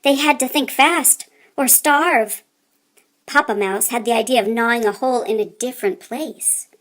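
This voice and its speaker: A woman reading a children's story aloud in an expressive, deliberate way, with theatrical emphasis. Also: fairly high pitch.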